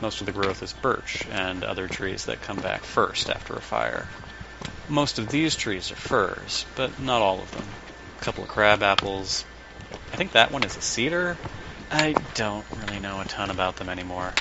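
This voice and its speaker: Guy acting dry sardonic therapist man, lowish voice, audio drama, lots of background noise. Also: moderate pace, gravelly. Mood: reflective. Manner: blunt, dry, probing. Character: blunt, sardonic, unorthodox therapist man, older than he looks. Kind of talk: audio drama dialogue and dictated case notes. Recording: muffled with loud background noise.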